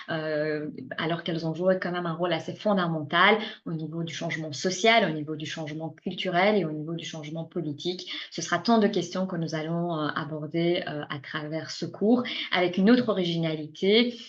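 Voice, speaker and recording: A woman's voice: fairly low pitch, fairly quick, lo-fi sound. Hesitant woman with a Belgian French accent. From Iran. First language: Persian. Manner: hesitant, frequent filler sounds. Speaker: university lecturer. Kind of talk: course presentation.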